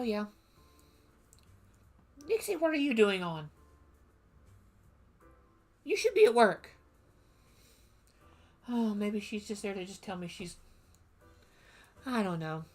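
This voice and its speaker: Chatty woman streaming a game. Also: medium pitch. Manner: chatty, playful, rambling. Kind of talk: casual gameplay commentary.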